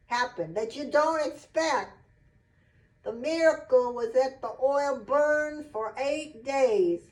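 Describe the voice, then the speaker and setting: A woman voicing a gentle puppet storyteller who explains simply and asks a child questions, recorded at a distance from the mic. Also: high-pitched.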